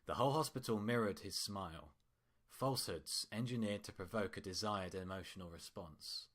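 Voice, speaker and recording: Low-voiced man narrating a story. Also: moderate pace, a bit bright. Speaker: narrator of a fan fiction reading.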